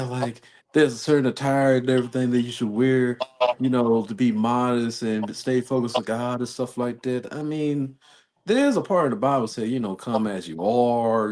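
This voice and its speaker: A man's voice: medium pitch, a little mellow. An American man in a casual talk-show chat, rambling through a personal story as host. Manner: rambling, storytelling with quoted exchanges.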